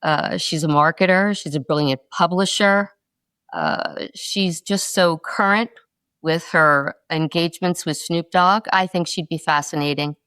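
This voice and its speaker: A middle-aged woman from North Carolina, a podcast guest answering interview questions in quick, expressive stories. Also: on the low side, clear, resonant tone, no echo.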